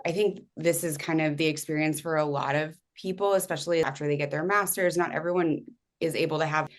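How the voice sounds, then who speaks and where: A woman's voice: low-pitched, fairly even intonation, brisk. A woman co-hosting a podcast, introducing a guest in a bubbly, chatty way and correcting herself as she goes. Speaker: podcast co-host.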